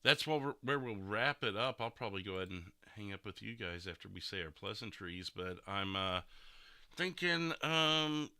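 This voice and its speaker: A man's voice: fairly low pitch, expressive, moderate pace, pushed and bright, clear, resonant tone, dry recording, clean studio sound. A man hosting a podcast in casual chat, with hesitant, rambling phrasing. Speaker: podcast host.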